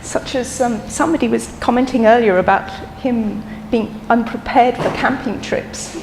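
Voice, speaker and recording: A woman's voice: a little high, creaky, darkish tone, in a large hall, slight background noise, low-quality recording. Heartfelt woman with a mixed accent. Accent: mixed London, Irish and Australian. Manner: heartfelt, measured, with pauses. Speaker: eulogist, the deceased's daughter. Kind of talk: eulogy. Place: memorial service hall.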